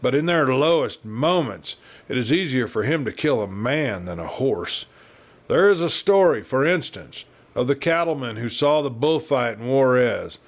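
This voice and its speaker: A man's voice: mid-range voice, expressive, moderate pace. American man narrating a Western tale. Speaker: audiobook narrator.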